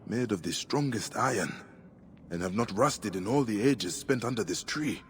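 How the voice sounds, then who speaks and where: An actor playing a stern, steady ancient Egyptian warrior and protector in a video game, speaking in a measured, solemn way. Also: male voice, fairly low pitch, slight background noise, close to an everyday voice. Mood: calm, curious. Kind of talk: dramatic video game acting. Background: loud music.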